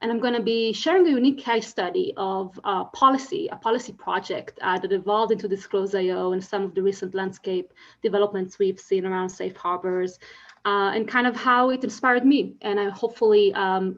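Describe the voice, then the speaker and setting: A woman's voice: mid-range voice, fairly even intonation, a little fast, lo-fi sound. A woman from Israel with an Israeli accent, giving an earnest conference presentation full of fillers and restarts. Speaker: conference speaker.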